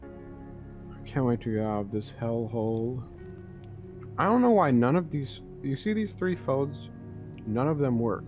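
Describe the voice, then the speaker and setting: A man's voice: medium pitch, very animated, measured pace, pauses often, over music. A man playing a boastful, grumbling jailed terrorist in improvised online roleplay. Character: boastful, grumbling jailed male terrorist, self-declared cool.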